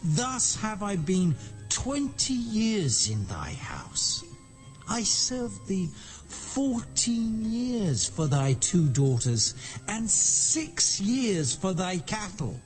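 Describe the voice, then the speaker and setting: A man's voice: high-pitched, wildly swooping pitch, extremely slow, faint music behind, exaggerated voice. An actor playing an aggrieved, indignant shepherd pleading his case in a dramatized scripture reading, declamatory and archaic in diction.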